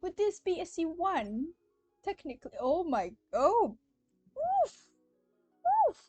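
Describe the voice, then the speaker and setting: High-voiced young woman streamer with music behind. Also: takes it slowly, no room sound. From Malaysia. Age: young adult. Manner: excitable, exclamatory, giggly. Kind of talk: casual live game stream commentary.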